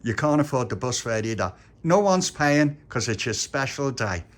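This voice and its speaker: A man voicing a gruff but soft-hearted male bus conductor. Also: medium pitch, animated, normal speed, voice pushed up, natural voice. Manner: gruff and loud.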